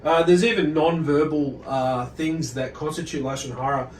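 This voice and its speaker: A man giving an informal religious lesson, speaking in a halting, deliberate way and often correcting himself as he explains. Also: a little high, a little hiss or hum. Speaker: religious teacher. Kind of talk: informal religious lecture, reading and explaining from a book. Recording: roomy echo, distant microphone.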